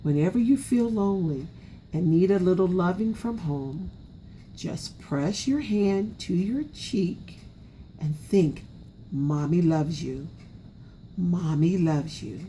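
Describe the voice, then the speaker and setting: Woman as a mellow, soothing mother raccoon. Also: lowish voice, animated, slow, slight background noise. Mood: tender. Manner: gentle, soothing. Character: gentle, loving mother raccoon, reassuring and softly teasing.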